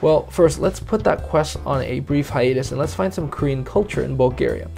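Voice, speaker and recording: A man's voice: medium pitch, moderate pace, gravelly, music in the background. A man narrating his travel vlog and chatting casually, curious and easygoing. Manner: curious, easygoing, laughs often. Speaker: travel vlogger.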